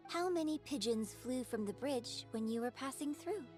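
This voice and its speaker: An actress playing a poised, exacting opera performer in a video game, instructing a pupil in a formal, theatrical way. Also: female voice, high voice, animated, moderate pace, darkish tone, soft background music. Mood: composed, instructive. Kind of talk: scripted video game voice acting.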